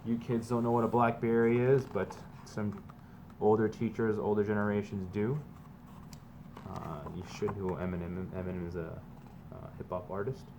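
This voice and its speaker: A man's voice: on the low side, flat delivery, moderate pace. A man reading a children's book aloud like a teacher, mixing emphatic, sing-song lines with casual explanations for students. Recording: clear background noise.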